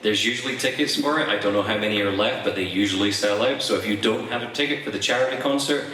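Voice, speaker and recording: Casual Scottish guest with a low, bright voice, distant in a reverberant hall. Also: male voice. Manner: casual, halting. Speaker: guest on stage. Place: large convention hall stage. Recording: echoey hall from far off.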